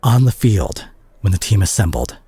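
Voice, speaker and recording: A man playing a concerned, exasperated superhero scolding a reckless teammate in a dramatic audio roleplay, husky and breathy, with halting, emphatic pauses. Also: low voice, very animated, dark tone, no room sound, full character voice. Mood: stern, exasperated.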